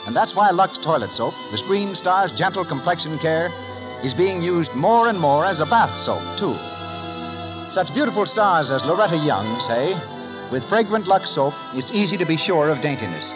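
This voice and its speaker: A male announcer from 1930s radio speaks in a high voice with big, animated swings of pitch. His delivery is formal, polished and promotional. Music sits behind him on the old broadcast. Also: poor audio.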